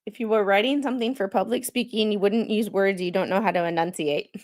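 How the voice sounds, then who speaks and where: A woman hosting a livestream as a non-lawyer commentator, reading legal filings aloud haltingly and chatting about them in an informal, self-deprecating way. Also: medium pitch, a little mellow.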